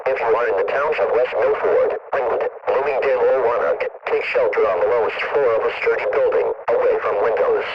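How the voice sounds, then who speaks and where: A man's voice as an impersonal automated weather radio announcer, reading emergency bulletins in a flat, formal, methodical way. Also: medium pitch, a little fast, hardly any pauses, natural voice. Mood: impersonal, urgent. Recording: muffled, very noisy distant radio broadcast.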